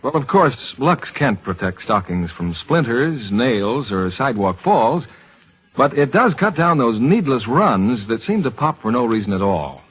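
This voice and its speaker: A man's voice: medium pitch, big pitch swings, unhurried, fairly bright tone, slightly rough, highs cut off. An American man announcing a scripted 1940s radio commercial in polished sales patter.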